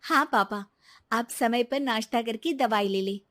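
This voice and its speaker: A woman voicing an earnest, polite young married daughter-in-law with a plaintive, deferential manner in an animated moral story. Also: fairly high pitch, a little slow.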